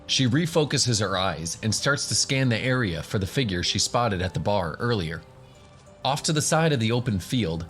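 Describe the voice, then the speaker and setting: A man with a low voice narrates a fantasy adventure as game master. His delivery is scripted storytelling, set over faint music.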